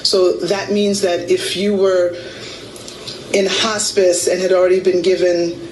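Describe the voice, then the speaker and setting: A woman explaining as a public health official at a briefing, with halting pauses. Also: on the low side, flat delivery, darkish tone. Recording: echoey room with faint noise.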